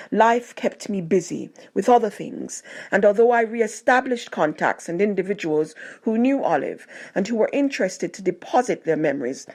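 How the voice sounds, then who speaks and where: A woman narrating a scripted personal memoir, reading it aloud with measured, deliberate phrasing. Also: mid-range voice, darkish tone. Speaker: narrator reading a written tribute.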